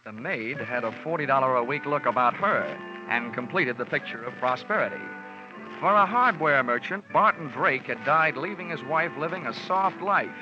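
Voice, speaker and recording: A sardonic, hard-boiled investigator, voiced by an actor in a vintage radio play, delivering dry wisecracks in steady narration. The recording is dull and lo-fi with background music underneath, like an old broadcast. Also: male voice, medium pitch, normal speed, close to an everyday voice. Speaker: narrator and lead detective. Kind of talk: 1950s radio drama acting.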